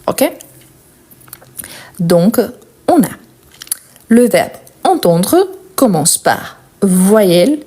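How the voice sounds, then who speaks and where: A woman with a non-native French accent leads a live lesson on verb conjugation. Her voice is warm and mellow at a middling pitch. She works slowly, repeating each form twice with small fillers and quick asides. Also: sing-song, husky. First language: not French. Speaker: French teacher.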